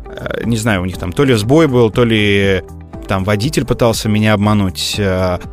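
A man co-hosting a podcast, telling things casually in a hesitant, digressive way full of fillers. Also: lowish voice. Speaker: podcast co-host. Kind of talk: casual conversational storytelling.

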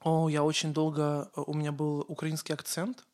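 A man's voice: fairly high pitch, monotone, moderate pace, a little mellow. A man from Ukraine co-hosting a casual podcast, talking in an earnest, rambling way and often correcting himself mid-sentence. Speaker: podcast co-host.

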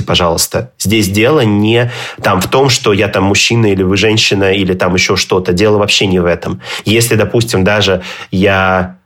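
A man with a fairly low voice, reflective and unhurried. He pauses often with fillers and restarts his sentences. He speaks in casual, thoughtful conversation. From Russia. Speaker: Swedish teacher, podcast guest. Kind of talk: casual interview chat.

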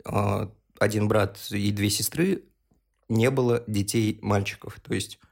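A man's voice: fairly low pitch, fairly even intonation, unhurried, creaky, a little mellow. A man in his early twenties chatting on a podcast, explaining his views earnestly and at length. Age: 20s. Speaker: podcast co-host. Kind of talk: casual podcast chat.

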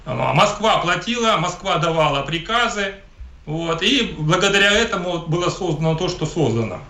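A man's voice: high voice, expressive, moderate pace, bright, forceful tone. A man from Ukraine, an interview guest giving emphatic, polemical political commentary and often repeating himself. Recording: echoey room.

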